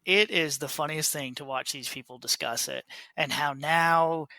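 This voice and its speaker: A man hosting a podcast, chatting casually in an animated, opinionated and informal way. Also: fairly high pitch, normal speed, vocal fry, dry recording. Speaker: podcast host. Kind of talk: casual panel chat about a TV trailer.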